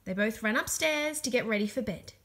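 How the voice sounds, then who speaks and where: A woman reads a children's story aloud with expressive drama. Her voice is high and bright, and she swoops through the lines. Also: a little fast. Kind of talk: children's book read-aloud.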